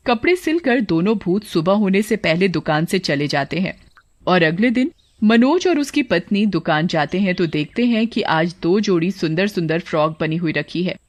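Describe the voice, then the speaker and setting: A woman's voice: lowish voice, lively intonation, normal speed, no room sound. A woman giving scripted narration for a cartoon story as the storyteller.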